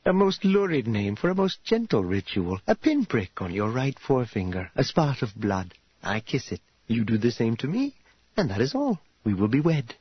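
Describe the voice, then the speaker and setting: A self-assured, wealthy noblewoman from an audiobook, voiced by a man. She speaks in measured, archaic courtesies, direct and husky, on a dry, slightly dull recording. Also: medium pitch, big pitch swings, no echo. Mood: brisk, reassuring. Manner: measured, archaic formal phrasing. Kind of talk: audiobook character voice.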